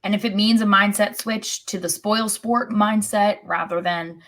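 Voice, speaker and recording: A woman's voice: medium pitch, fairly even intonation, unhurried, a bit bright. A woman co-hosting a soccer podcast, chatting casually in a wry way. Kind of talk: casual sports chat.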